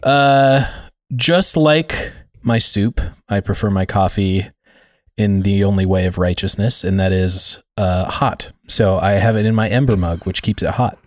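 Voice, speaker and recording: A man's voice: lowish voice, unhurried, dry recording. A man co-hosting a casual roundtable podcast, cracking dry jokes and rambling loosely through his explanations. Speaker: podcast co-host.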